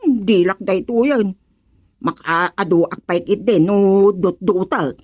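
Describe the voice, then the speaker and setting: A woman's voice: medium pitch, takes it slowly, darkish tone, dry recording. An actress playing a fussy, gossipy village woman in an Ilocano radio comedy. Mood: gossipy, fussing. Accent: Filipino, Ilocano.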